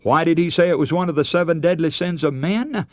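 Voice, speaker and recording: A man preaching a radio sermon in an earnest, emphatic way, with dramatic pauses. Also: on the high side, no echo.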